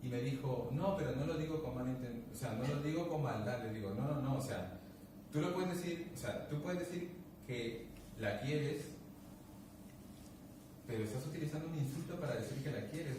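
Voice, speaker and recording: A man's voice: mid-range voice, normal speed. Guy telling stories, acts out the dialogue, far from the mic, lots of echo and noise. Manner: animated, acts out dialogue, many restarts. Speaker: guest speaker. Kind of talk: casual conversational storytelling. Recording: echoey room, distant mic, background noise.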